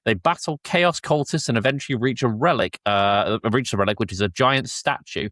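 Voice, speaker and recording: A man's voice: on the low side, fairly bright tone, no echo. A man hosting a podcast, speaking casually as he chats and reads out summaries. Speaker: podcast host. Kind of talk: casual chat and reading episode summaries aloud.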